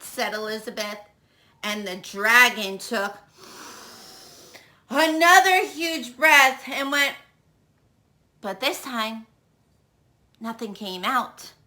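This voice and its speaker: A woman tells a children's story in a high, bright voice. She is expressive and unhurried, addressing young listeners directly with questions. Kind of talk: animated children's read-aloud.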